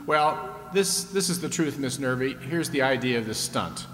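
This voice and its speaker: A man's voice: mid-range voice, animated, moderate pace, a bit bright, close to an everyday voice. In a reverberant, noisy hall, a man acts out a genial old-time radio game show host. He keeps up playful, teasing patter with a folksy touch, like a 1950s audience show. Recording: echoey hall, clear background noise.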